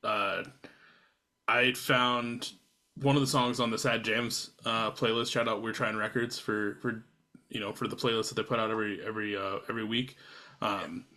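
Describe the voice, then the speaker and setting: Guy hosting a chill podcast, bright forceful voice, kinda rambly. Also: fairly low pitch, level tone, normal speed. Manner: rambling, restarts often, laughs along. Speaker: podcast host. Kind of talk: casual chat over beers.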